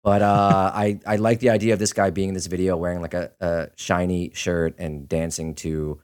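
A man's voice: low voice, little pitch movement, normal speed, a little mellow, smooth. A man co-hosting a podcast in casual chat, with a wry, teasing way of talking. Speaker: podcast co-host.

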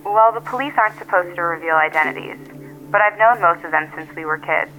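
A woman's voice: fairly low pitch, a little fast, sharp tone, a little hiss or hum. An actress playing a self-assured, blunt woman in an audio drama, heard through a telephone filter, dry and direct. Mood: matter-of-fact. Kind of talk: naturalistic audio drama acting. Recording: thin filtered telephone line.

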